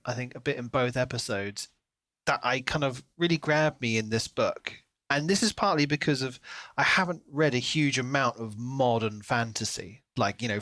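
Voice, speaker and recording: Male podcast co-host, mid-pitched, casual and enthusiastic, thinking aloud, very dry recording. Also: moderate pace, a bit bright, dry recording. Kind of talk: casual book discussion.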